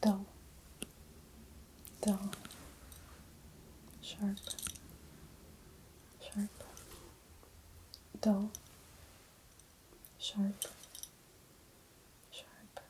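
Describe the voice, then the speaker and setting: Very slow, quiet woman answering briefly. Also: medium pitch, completely flat delivery, slight background noise. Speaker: patient in an ASMR exam role-play. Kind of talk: soft-spoken ASMR role-play.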